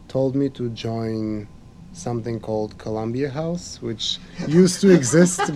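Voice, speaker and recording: A pianist, from Bulgaria, telling a story in a thoughtful, conversational interview. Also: male voice, medium pitch, warm tone, slight background noise. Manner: reflective, storytelling. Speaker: pianist guest.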